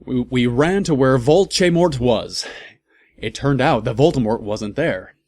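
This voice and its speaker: A man's voice: mid-range voice, animated, unhurried, a little mellow. A man reading a story aloud as a comedic host, breaking off for wry, amused asides.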